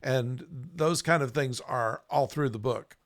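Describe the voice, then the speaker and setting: Host-type man, gravelly, halting casual read-through, dry close sound. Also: mid-range voice, dry recording. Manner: halting, with many fillers and restarts. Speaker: podcast host. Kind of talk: casual chat, reading passages aloud.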